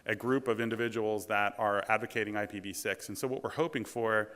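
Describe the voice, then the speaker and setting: Brief: male conference presenter, booming, smooth, resonant, bright tone, halting with frequent fillers, reverberant hall. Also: fairly even intonation. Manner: halting, many ums and restarts. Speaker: conference speaker, task force president. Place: large lecture hall.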